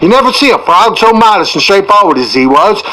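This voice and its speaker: A garrulous country storyteller, played by a male reader, spins a tall tale in rambling, colloquial dialect. The voice is high for a man, with an earnest, admiring tone. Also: big pitch swings, fairly quick, bright, forceful tone, exaggerated voice, low-quality recording. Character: folksy, garrulous rural yarn-spinner, admiring and earnest. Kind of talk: first-person tall-tale narration in audiobook.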